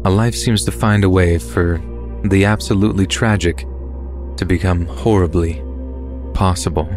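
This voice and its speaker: A man's voice: low-pitched, fairly even intonation, moderate pace. A man reading a scripted true horror story as its narrator, over background music. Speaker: narrator of listener-submitted true stories. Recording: music bed under the voice.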